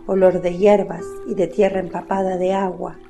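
A woman's voice: fairly low pitch, level tone, normal speed, dark tone, soft background music. A woman narrating a literary radio play from a script.

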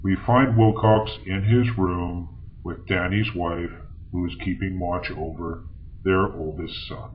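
A sober doctor from a 1930s radio drama, played by a man, with a low voice and an unhurried, formal delivery. Faint noise sits behind his measured speech. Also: steady tone, phone-quality sound. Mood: grave. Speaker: doctor and narrator. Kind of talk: old-time radio acting, audio journal narration.

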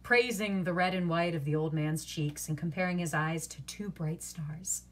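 A woman's voice: on the low side, moderate pace. A young woman reading a story aloud expressively, as an actor does at storytime. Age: young adult. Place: small bedroom, indoors.

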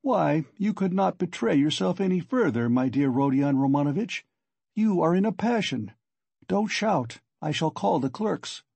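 Man acting a sly, high-pitched, patronising investigator. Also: lively intonation, moderate pace, gravelly, dark tone, slightly rough, no room sound, exaggerated voice. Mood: taunting. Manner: mock-genial, needling, condescending. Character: sly, patronising middle-aged male police investigator. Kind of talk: audiobook character dialogue.

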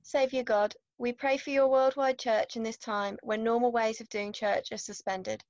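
A woman's voice: fairly high pitch, fairly even intonation, normal speed, dry recording. A woman in her twenties reading out an intercession in a plain room indoors. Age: 20s. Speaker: church member leading prayer. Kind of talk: read-out intercession. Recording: dull, dry webcam audio.

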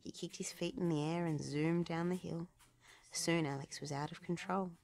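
Children's book narrator: female, lower register, some vocal fry, expressive read-aloud delivery. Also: normal speed.

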